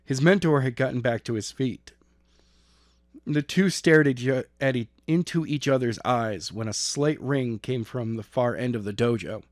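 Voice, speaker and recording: A man's voice: medium pitch, moderate pace, dry recording, studio quality. A man reading an action story as an amateur narrator, stumbling and repeating phrases as he goes. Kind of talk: scripted narration of an action novel.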